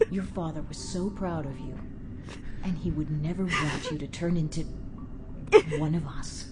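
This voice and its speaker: A tender, grieving warrior mother speaks slowly and low. Very loud ambient noise surrounds her in the audio drama. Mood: tender, sorrowful.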